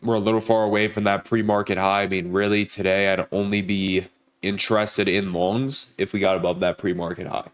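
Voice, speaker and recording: Man, young, sick and nasal, deep voice, casual livestream chat. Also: little pitch movement, a little fast, clear, resonant tone. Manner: greets viewers by name, hypes likes. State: sick, stuffed up, sore throat. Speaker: livestream trader. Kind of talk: casual live-stream chat.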